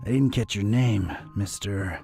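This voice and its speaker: A man playing a gruff, wry, old-fashioned private detective in an animated video game, probing with old-time gumshoe phrasing. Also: fairly low pitch, measured pace, soft background music, full character voice. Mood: dry, probing.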